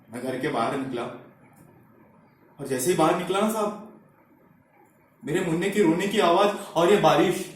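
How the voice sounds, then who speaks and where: Grief-stricken village father, played by a male actor, high-pitched, halting, pleading monologue delivery in a very reverberant room. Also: recorded from a distance, slight background noise. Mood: heavy, sorrowful. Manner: halting, repetitive, emotional delivery with pleading address. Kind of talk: dramatic acting monologue.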